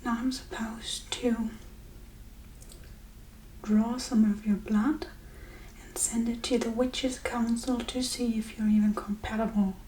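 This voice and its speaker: A woman playing a scatterbrained, casually callous witch in a soft-spoken ASMR role-play, rambling in a breathy, mostly whispered voice. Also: fairly high pitch, flat delivery, takes it slowly, pauses often, a little hiss or hum, poor audio. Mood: lazy, indifferent. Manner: offhand, rambling, blithely unbothered.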